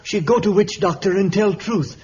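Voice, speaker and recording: An actor playing a frightened African villager in a vintage radio adventure serial, speaking halting pidgin English with dropped words. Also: male voice, high-pitched, darkish tone, lightly acted. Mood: fearful, resigned. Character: frightened African villager, a new father resigned to a curse. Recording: dull, lightly noisy old radio recording.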